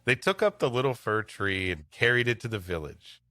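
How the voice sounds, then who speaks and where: A man's voice: lowish voice, expressive, normal speed, sharp tone, no room sound. A man hosting a comedy podcast, reading old stories aloud and bantering casually. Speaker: podcast host and story reader. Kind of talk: storybook narration with comic banter.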